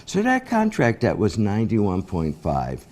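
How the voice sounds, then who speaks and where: A man's voice: on the low side, wildly swooping pitch, measured pace, darkish tone. An American man, a state senator, arguing critically in an interview with emphatic repetition. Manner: emphatic, repeats words.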